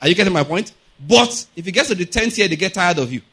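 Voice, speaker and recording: A man's voice: high voice, animated, quick pace, fairly bright tone, husky. A middle-aged Nigerian man preaching a teaching sermon, explaining point by point with repetition and frequent rhetorical checks on understanding. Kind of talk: sermon, teaching with everyday examples.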